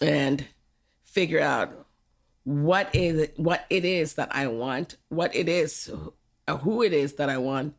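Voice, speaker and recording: A woman's voice: low-pitched, slow, gravelly. An older woman from Jamaica talking frankly in a podcast conversation, often laughing as she reflects.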